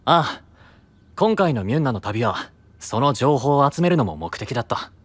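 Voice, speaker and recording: A man playing a brusque, frank but caring young knight in an anime-style voice drama, husky and casual. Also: medium pitch, big pitch swings, normal speed, no echo. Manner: rough, casual, direct speech.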